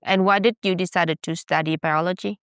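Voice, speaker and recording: A woman's voice: on the low side, fairly even intonation, fairly quick, fairly bright tone, clear, resonant tone, no room sound, studio quality. A young woman from Vietnam with a Vietnamese accent, hosting a podcast and asking questions in a playful, teasing way. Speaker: podcast host. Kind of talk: casual chat.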